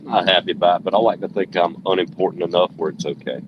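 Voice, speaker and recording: Deep-voiced male guest, dry joker, muffled line with noise behind. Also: fairly even intonation, fast talker. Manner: dry, joking. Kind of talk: casual chat. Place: Raleigh. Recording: dull lo-fi call with background noise.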